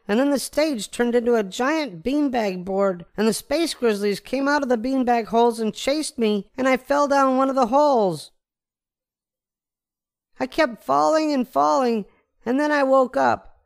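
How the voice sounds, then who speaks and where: A man voicing an excitable little girl bear cub, plaintive and easily frightened, in a storybook reading. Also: extremely high pitch, unhurried, creaky, pitched above the performer's own voice, full character voice. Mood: upset, plaintive. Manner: wailing, plaintive.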